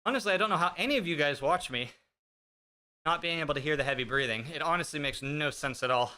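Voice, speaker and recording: Bright-voiced joking male streamer. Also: a little high, fast talker. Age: 30s. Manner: joking, self-deprecating, chatty; talks while riding an indoor bike. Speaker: fitness streamer. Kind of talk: casual live-stream chat with viewers. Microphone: streaming desk mic with noise gate.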